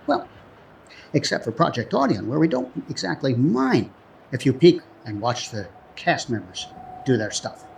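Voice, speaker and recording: Guy hosting a radio drama intro, scripted but chatty, playful asides, normal pitch, music behind him. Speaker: host introducing an audio drama.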